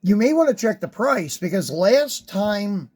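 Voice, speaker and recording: A man who is hoarse from a sinus infection, chatting casually and rambling as he hosts a toy car unboxing, with many ums and uhs. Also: high-pitched, big pitch swings, unhurried, a little mellow. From West Virginia, USA. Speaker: die-cast collector and channel host.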